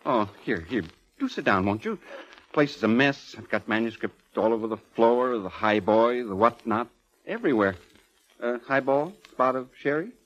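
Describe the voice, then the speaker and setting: An actor playing an eccentric, gleefully morbid ageing composer in a 1940s radio drama, with a flamboyant, florid manner. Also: male voice, medium pitch, expressive, normal speed, lightly acted. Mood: excitable, fussy. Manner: flamboyant, effusive, chuckling, florid. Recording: muffled vintage 1940s radio recording.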